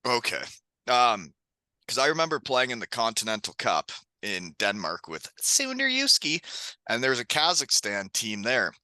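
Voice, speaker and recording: A chatty man hosts the conversation, swapping stories and laughing easily. His voice is very bright and forceful. The sound is dry and close. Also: medium pitch, big pitch swings, normal speed, dry recording. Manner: chatty storyteller, laughs often. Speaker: podcast host, hockey coach. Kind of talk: casual chat.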